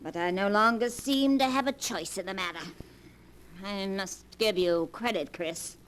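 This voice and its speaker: An actress playing an elderly, coolly mocking, vengeful grandmother in 1940s radio style, deliberate and sardonic. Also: medium pitch, a bit bright, a little hiss or hum, close to an everyday voice, poor audio. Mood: dryly knowing.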